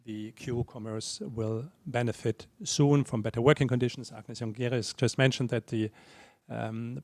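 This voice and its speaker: A man, an official speaking on a policy panel in a measured, formal way, pausing often on fillers. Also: mid-range voice, dry recording. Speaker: European Commission official. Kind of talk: panel talk.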